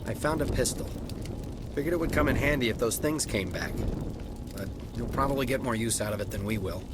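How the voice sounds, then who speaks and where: An actor playing a shaken but defensive colony farmer in a video game, earnest and plain-spoken. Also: male voice, medium pitch, animated, brisk, very noisy, lightly acted. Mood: defensive, reluctant. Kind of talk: dramatic video game acting.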